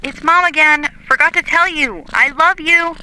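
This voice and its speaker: A noisy answering-machine message from a busy mother, acted by a woman. The voice is high, affectionate and everyday. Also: normal speed. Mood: hurried, caring. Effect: answering machine playback.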